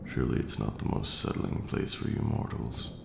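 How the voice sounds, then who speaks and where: A man's voice: moderate pace, reverberant, a little hiss or hum, natural voice. A man playing a haughty but caring vampire lord in an ASMR roleplay, speaking formally and sternly. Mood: quiet, attentive.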